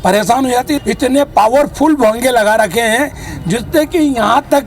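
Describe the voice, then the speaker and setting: A man's voice: very high-pitched, normal speed, a little hiss or hum. A man with an Indian accent giving an unscripted complaint to the press.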